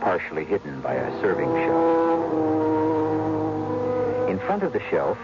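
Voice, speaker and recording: A man acting as a measured storyteller, setting the scene in a scripted radio drama narration. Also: on the low side, wildly swooping pitch. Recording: muffled radio recording under loud music.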